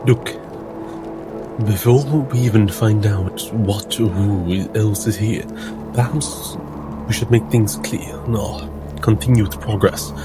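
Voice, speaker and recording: A man's voice: fairly low pitch, takes it slowly. A man playing a tabletop roleplaying game, speaking in formal, articulate character and narrating his own actions with theatrical flair. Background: music under the voice.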